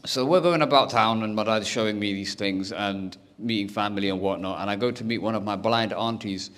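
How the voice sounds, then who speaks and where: A young man does stand-up on a comedy stage. He tells personal stories and jumps in and out of the voices of the people in them. He keeps the delivery animated and chatty. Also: fairly low pitch, brisk. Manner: animated, acts out every character's lines. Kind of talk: stand-up storytelling.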